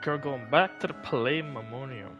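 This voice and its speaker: Casual male game streamer, medium pitch, thinking aloud, faint music behind. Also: moderate pace, lo-fi sound. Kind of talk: casual live game commentary.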